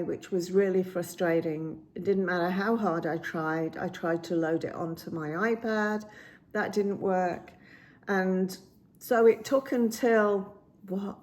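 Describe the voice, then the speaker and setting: A woman with a slightly croaky voice chats casually about her cross stitch projects, rambling with many ums and restarts, filmed on a phone at home. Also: medium pitch, a little mellow. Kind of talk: casual show-and-tell chat. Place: indoors at home by a window. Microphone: phone camera.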